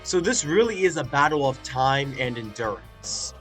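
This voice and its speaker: A man's voice: medium pitch, sing-song, normal speed, a bit bright, faint music behind. A man giving a casual spoken review with a stuffy, congested nose, chatty and full of filler words. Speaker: video reviewer.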